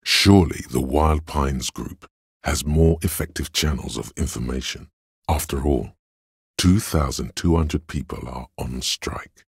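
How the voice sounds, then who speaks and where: Very deep, gravelly voice of cold logic. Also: male voice, no room sound, exaggerated voice. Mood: measured, analytical. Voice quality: gravelly, husky. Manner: measured, deliberate, dry, superior. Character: inner voice of cold logic, a man's analytical, condescending mind. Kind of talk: video game narration, addressing the player.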